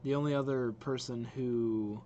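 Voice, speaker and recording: Casual young man reacting, medium-pitched voice. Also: measured pace, muffled recording, a little hiss or hum. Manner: expressive, informal. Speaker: reaction video host. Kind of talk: casual, excited live reaction commentary.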